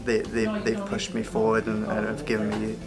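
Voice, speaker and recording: A man's voice: lowish voice, monotone, moderate pace. A young man answers interview questions hesitantly and repeats his words. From Wales. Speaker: film apprentice. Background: background music.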